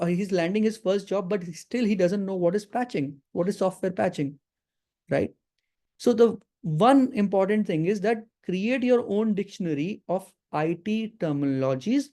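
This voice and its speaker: A man's voice: sits high, dark tone. A man hosting a live webinar, giving career advice in an earnest way, often repeating words and checking in with his listeners. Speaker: webinar host and career coach. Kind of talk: live webinar talk to an audience.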